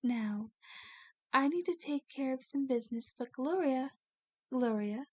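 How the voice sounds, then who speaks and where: Casting: doting vampire mistress, played by a woman, gentle and refined, lightly high voice, very slow soothing delivery for ASMR audio drama. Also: lively intonation, no room sound. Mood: doting, pleased. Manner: gentle, soothing, genteel, coaxing. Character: kindly, doting female vampire, genteel mansion owner. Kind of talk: ASMR roleplay, soft scripted acting.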